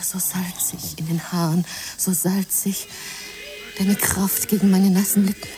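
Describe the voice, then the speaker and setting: A woman's voice: mid-range voice, mellow, natural voice. An actress playing an impassioned woman who tells a lurid adventure fantasy in a melodramatic, intense radio play manner, at times whispering. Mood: tender, grieving. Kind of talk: radio play narration and acting. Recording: noisy jungle ambience behind.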